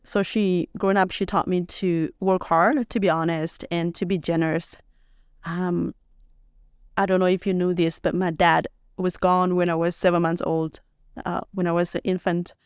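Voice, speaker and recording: Soft-spoken young woman, clear dry voice. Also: on the low side, flat delivery, dry recording. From Thailand-Burma border. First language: S'gaw Karen. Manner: soft-spoken, hesitant, unhurried, many fillers. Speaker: interview guest. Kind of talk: personal life story interview. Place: podcast studio.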